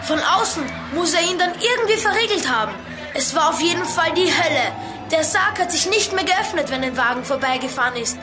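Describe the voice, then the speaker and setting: A woman playing an excitable, dramatic child detective in a children's radio play. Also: extremely high pitch, a little fast, music in the background. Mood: shaken.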